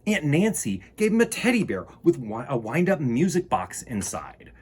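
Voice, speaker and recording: Male picture book reader, theatrical and playful, with very lively intonation and a husky edge. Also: mid-range voice, normal speed. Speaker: children's storytime reader. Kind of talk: animated picture book read-aloud with casual asides.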